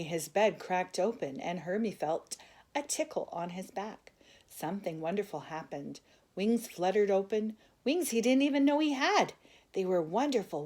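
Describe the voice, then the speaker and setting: An older woman reads a children's picture book aloud in a gentle, expressive way, with asides to a young listener. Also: mid-range voice. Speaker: grandmother storyteller.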